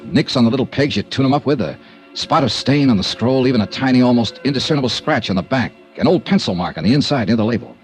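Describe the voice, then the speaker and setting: A man's voice: fairly low pitch, natural voice. An actor playing a wry, hard-boiled male insurance investigator, narrating and talking in a 1950s radio drama. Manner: laconic, wry first-person narration.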